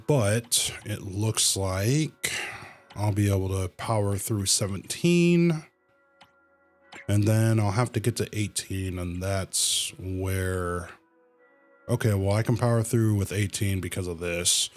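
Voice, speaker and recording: A man livestreaming a video game with casual commentary, thinking aloud in a halting way. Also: on the low side, soft background music.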